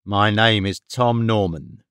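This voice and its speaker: A man voicing a gruff, domineering, bigoted father in an audiobook, curt and commanding. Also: on the low side, slow pace, fairly bright tone, dry recording, studio quality, pitched below the performer's own voice. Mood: curt, dismissive. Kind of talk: audiobook character dialogue.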